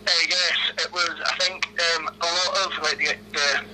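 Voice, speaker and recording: A young Glaswegian man in his twenties talks over the phone, his voice very bright and a little high for a man. He answers with lots of ums, false starts and small laughs. A faint hiss sits under the line. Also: fairly even intonation, moderate pace, poor audio. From Scotland. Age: 20s. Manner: hesitant, many ums and restarts, laughs often. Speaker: interviewee. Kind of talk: casual phone interview. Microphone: phone call.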